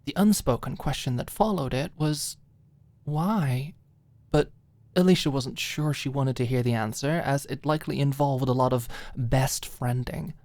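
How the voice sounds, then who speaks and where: Very low-pitched woman narrating an audiobook. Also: normal speed, a little mellow, no room sound. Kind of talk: scripted story narration, ASMR audiobook.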